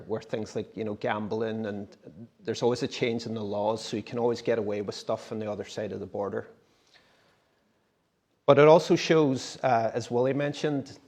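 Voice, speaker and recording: A man's voice: medium pitch, a little mellow. A man, a writer giving a conversational talk at a symposium. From Derry, Northern Ireland. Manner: conversational, explanatory, occasional restarts. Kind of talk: casual presentation. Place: symposium talk in a gallery space.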